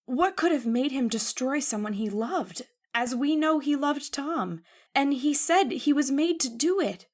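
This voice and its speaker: A woman's voice: sits high, lively intonation, unhurried. Radio drama: anxious young woman played by a woman, earnest, emotional. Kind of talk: old-time radio drama acting.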